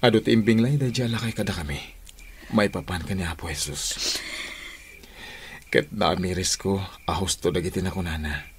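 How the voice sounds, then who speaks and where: An actor with an Ilocano accent playing an earnest, subdued, repentant husband in a radio drama. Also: male voice, low-pitched, normal speed, long pauses, a little hiss or hum, close to an everyday voice. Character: repentant husband, earnest and humbly resolved to change.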